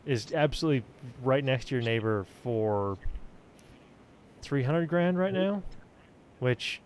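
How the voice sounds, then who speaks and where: A man in casual conversation, animated and blunt, given to swearing and joking exaggeration. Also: medium pitch, unhurried, a little hiss or hum. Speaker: stream co-host, narrator. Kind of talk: casual chat.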